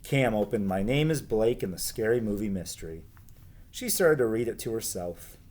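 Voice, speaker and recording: Animated man reading a children's story aloud. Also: lowish voice, normal speed.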